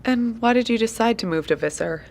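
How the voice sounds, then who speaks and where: A woman playing a courteous, curious documentarian in an audio drama, gently prompting and readily apologetic as she interviews. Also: a little high, animated, fairly quick, gravelly. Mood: polite, businesslike.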